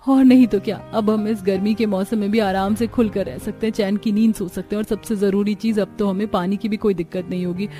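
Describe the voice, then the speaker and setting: A woman's voice: medium pitch, fairly even intonation, brisk, hardly any pauses, dark tone, faint music behind, close to an everyday voice. A woman voicing a young, practical village daughter-in-law, respectful and earnest, in a cartoon story. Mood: cheerful, reassuring. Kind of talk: Hindi cartoon story dialogue.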